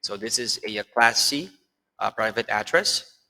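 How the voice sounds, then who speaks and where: Male tutorial instructor, lowish pitch, bright forceful tone, informal and halting, frequent check-ins with the learner. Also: lively intonation, low-quality recording. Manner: hesitant phrasing with frequent "okay" check-ins and rhetorical questions. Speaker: online networking instructor. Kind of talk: informal screen-share tutorial, explaining step by step.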